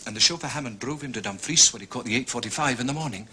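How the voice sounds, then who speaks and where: An actor playing a methodical, proud Scottish police inspector in a period detective drama, speaking precisely and courteously with a Scottish accent. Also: male voice, mid-range voice, racing, noisy background, close to an everyday voice. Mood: brisk, commanding.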